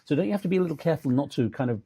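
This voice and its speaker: A man's voice: fairly high pitch, animated, rapid-fire, warm tone, husky, no room sound. A middle-aged man hosting an interview, putting polite, hedging challenges with fillers. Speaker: interviewer, host. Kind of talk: probing interview questions.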